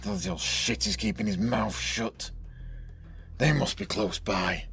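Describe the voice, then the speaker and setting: A man playing a ruthless, vengeful gang boss in an audio drama, with a gravelly voice and a commanding, menacing manner. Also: fairly low pitch, animated, unhurried, a bit bright, exaggerated voice. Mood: cold menace.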